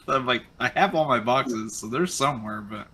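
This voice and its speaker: A man's voice: medium pitch, lively intonation, unhurried, sharp tone. A man chatting casually on a podcast, easygoing and chuckling now and then. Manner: easygoing, chuckles between lines. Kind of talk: casual chat.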